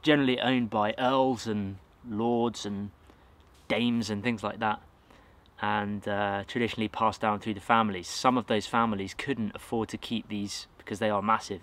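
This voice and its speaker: A man in his thirties with an English accent chats to the camera from a garden. His voice sits fairly low, and he rambles easily, full of fillers and little self-corrections. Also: steady tone, moderate pace, fairly bright tone. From England. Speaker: outdoors vlogger. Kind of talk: casual talk to camera. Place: outdoors in a garden by a wooden shed.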